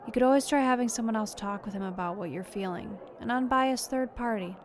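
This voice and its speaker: An actress playing a candid, supportive friend in an audio drama, with a frank, conversational delivery. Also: female voice, a little high, a little fast, natural voice. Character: candid, supportive female friend working in law enforcement.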